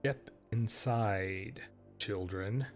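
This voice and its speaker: A man voicing a calm, authoritative fey who speaks slowly and soothingly from behind a door. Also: on the low side, soft background music.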